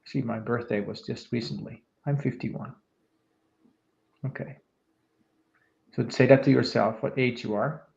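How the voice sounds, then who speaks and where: A man in his fifties co-hosting a podcast, calmly talking listeners through a reflective exercise. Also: mid-range voice, measured pace, creaky, pauses often. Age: 50s. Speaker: podcast co-host, leadership coach. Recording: echoey room, dull lo-fi sound.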